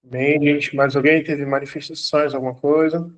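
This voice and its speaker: A man's voice: fairly high pitch, little pitch movement, normal speed, muffled recording. A man, an apostle, teaching an online church class, exhorting students and repeating his commands, at times laughing as he tells stories.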